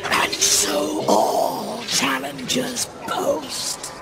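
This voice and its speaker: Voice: high-pitched, wildly swooping pitch, extremely slow, gravelly, very noisy, full character voice. An actor voicing an imperious undead arena announcer in a video game, grandiose and commanding. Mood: ominous proclaiming. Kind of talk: video game cutscene acting. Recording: loud music behind the voice.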